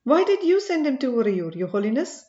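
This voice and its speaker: A woman's voice: sits high, very animated, fairly quick, a little mellow, reverberant, muffled recording, pitched above the performer's own voice. A woman voicing a respectful but wary man in an audiobook, deferential yet insistent in tone. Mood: insistent questioning. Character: respectful, wary man questioning his holy elder. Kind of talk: audiobook character dialogue.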